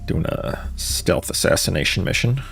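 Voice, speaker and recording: A man's voice: deep voice, animated, moderate pace, vocal fry, a bit bright. A man giving casual livestream commentary as he plays a game. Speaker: game streamer. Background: game music.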